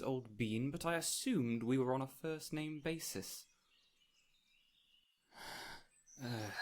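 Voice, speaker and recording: Birdsong and forest ambience surround a fey spirit acted by a man in an intimate audio roleplay. He is a gentle, whimsical fellow of medium pitch, joyful yet wistful. His phrasing is courteous, old-fashioned and theatrical, addressed directly to the listener. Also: natural voice. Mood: courteous, wry. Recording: clear ambient noise with birdsong.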